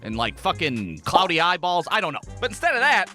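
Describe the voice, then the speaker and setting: A man's voice: sits high, wildly swooping pitch, fairly quick, over music. A young American man narrating a comedic cartoon story in a sarcastic, exclamatory way, acting out the dialogue.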